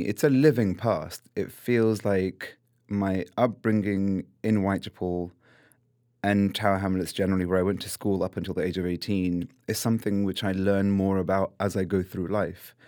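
Man, 30s, reflective interview guest, deep-ish voice, dry close mic. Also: no echo. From London, England. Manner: thoughtful, restarts and repeats words, shifts between lively and hushed. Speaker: podcast guest.

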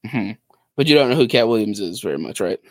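A man's voice: on the low side, expressive, dry recording, studio quality. A man hosting a casual podcast chat, talking in a slangy, jokey way. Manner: slangy, jokey, quick to laugh. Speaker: podcast host.

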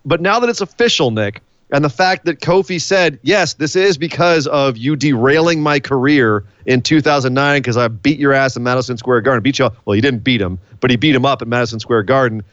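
A man co-hosting a casual wrestling podcast, animated and playful, hyping up each announcement. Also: medium pitch, fairly quick, no echo, highs cut off. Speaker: podcast co-host.

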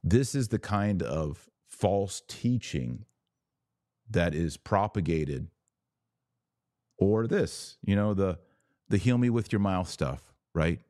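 A man hosting a podcast of religious commentary, speaking in an emphatic, didactic way with deliberate phrasing. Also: low voice, animated, dark tone, no room sound, clean studio sound. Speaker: podcast host.

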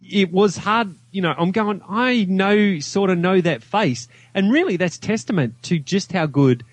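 A man's voice: high voice, lively intonation, unhurried, no room sound. Man chatting casually, close dry sound. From Australia. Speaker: podcast guest reviewer. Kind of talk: casual chat.

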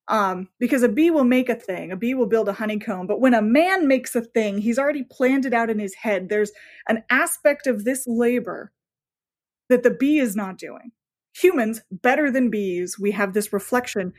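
Woman chatting as an enthusiastic, bookish guest. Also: on the high side. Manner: animated storytelling with bookish, nerdy enthusiasm. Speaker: podcast guest, author. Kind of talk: casual interview conversation.

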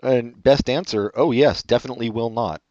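A man hosting a casual comedy chat, reading online questions aloud and answering with deadpan sarcasm. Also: normal speed, dry recording. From California. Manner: sarcastic, deadpan jokes with sudden pitch jumps. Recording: dull, muffled lo-fi audio.

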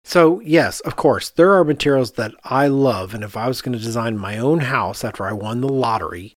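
A middle-aged man chats as a podcast host. His mid-pitched voice is recorded very dry and close. He talks candidly and emphatically, repeating phrases for effect. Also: lively intonation, normal speed, dry recording. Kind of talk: casual podcast chat.

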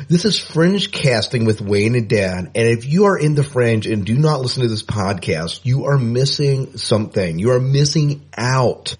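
A man co-hosting a podcast in casual chat, easygoing and self-deprecating, often trailing off quieter. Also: medium pitch, breathy edge. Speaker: podcast co-host.

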